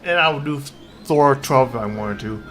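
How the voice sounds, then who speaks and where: A man chatting casually on a livestream while he plays a game, rambling in a halting, repetitive way. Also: mid-range voice, noisy background. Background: game music.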